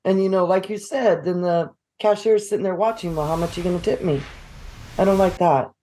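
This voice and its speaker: A woman's voice: fairly low pitch, a little fast, warm tone, slight background noise. A woman on a panel, casually debating in a plainspoken, complaining manner.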